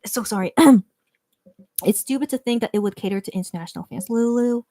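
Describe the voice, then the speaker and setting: A woman's voice: on the high side, fairly quick, mellow, no echo, studio quality. A woman hosting a livestream and reading viewer comments, talking in a casual, earnest way with lots of restarts and "right?" tags. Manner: earnest, careful to explain her view. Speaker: livestream host reading chat.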